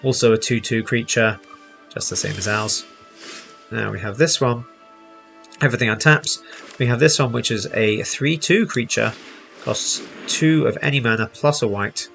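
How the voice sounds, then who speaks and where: Bright-voiced man with some creak, explaining gameplay. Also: mid-range voice, normal speed, faint music behind. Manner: explanatory, step by step. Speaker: host, gaming teacher. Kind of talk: casual tutorial commentary over gameplay.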